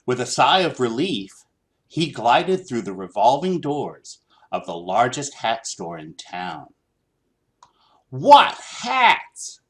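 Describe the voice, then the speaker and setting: A man reading a children's story aloud in an expressive, theatrical, deliberate style. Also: mid-range voice. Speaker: storybook reader.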